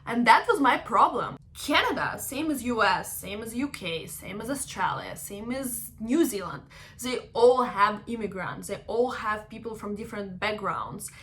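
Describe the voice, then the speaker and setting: A woman's voice: on the high side, animated, measured pace, fairly bright tone. A young woman from Ukraine with a Russian accent, encouraging her viewers in casual talk to the camera and often checking in with "right?". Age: young adult. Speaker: vlogger.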